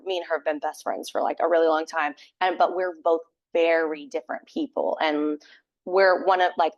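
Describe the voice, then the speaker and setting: A young woman with a loud voice, a theater sound tech and assistant stage manager, chatting casually in a podcast interview and filling her talk with "like". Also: lowish voice, low-quality recording. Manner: chatty, many fillers like "like".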